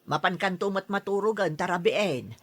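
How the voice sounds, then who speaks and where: A woman playing a caring, gently scolding mother in an audio drama, questioning and matter-of-fact. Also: medium pitch, expressive, moderate pace, close to an everyday voice. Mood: mildly scolding.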